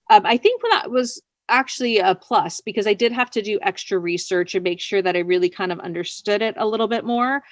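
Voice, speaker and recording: A woman's voice: medium pitch, no echo. A woman writer speaking casually as an interview guest, chatty, often laughing as she talks. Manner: chatty, laughs while talking.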